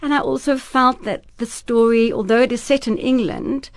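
A woman's voice: fairly high pitch, normal speed, dry recording. Afrikaans-speaking woman, dry studio voice. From South Africa. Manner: earnest, thoughtful, some restarts. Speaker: actress and producer, interview guest. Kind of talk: radio interview.

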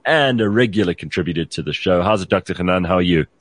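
A man's voice: low voice, animated, quick pace, dry recording. A man hosting a talk show, giving blunt opinions and putting questions in a conversational way.

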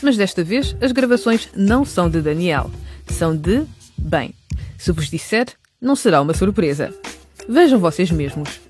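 A woman's voice: mid-range voice, a little mellow. A woman narrating a scripted radio drama outro in a chatty, teasing manner.

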